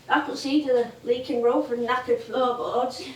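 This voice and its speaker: An actress playing a feisty, nostalgic veteran music hall comedienne in a stage play, with a theatrical, performative storytelling manner. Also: female voice, high-pitched, moderate pace, recorded from a distance, a little hiss or hum, close to an everyday voice. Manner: animated, performative. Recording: roomy live theatre recording.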